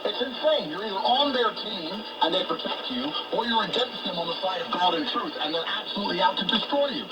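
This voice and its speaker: A man's voice: sits high, lively intonation, fast, few pauses. A man talking politics as a guest on a talk show, in an emphatic, ranting manner, heard over shortwave radio. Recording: noisy shortwave radio broadcast, distant.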